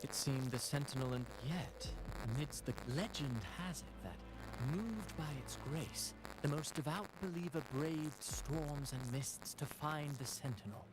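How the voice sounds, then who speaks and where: An actor playing a courteous, eloquent male storyteller in a video game, speaking in a formal, measured, theatrical way. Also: on the high side, lively intonation, music in the background, rough recording. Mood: storytelling. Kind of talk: scripted video game dialogue.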